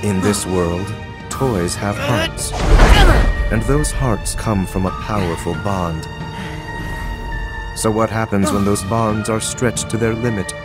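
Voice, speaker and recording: An actor playing a cold, scheming young male villain in a video game, with a measured, taunting manner. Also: fairly low pitch. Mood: cold, menacing. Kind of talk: video game cutscene acting. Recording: loud music behind.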